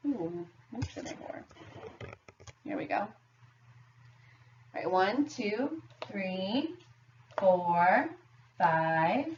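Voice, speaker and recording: A woman's voice: mid-range voice, animated, drawn-out words, long pauses, a little mellow. A woman teaching young children math, giving simple step-by-step instructions and questions and counting aloud. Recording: roomy, distant, muffled, faint noise.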